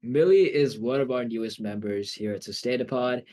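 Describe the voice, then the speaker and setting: A man's voice: medium pitch, moderate pace, darkish tone. A young man hosting a student podcast in an upbeat casual style, often saying "absolutely". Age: young adult. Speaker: podcast host.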